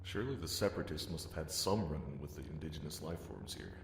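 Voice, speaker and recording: A man's voice: extremely low pitch, fast, vocal fry, reverberant, noisy background. A man playing a cautious, thoughtful Jedi master in an audio comic, formal and pensive. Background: music.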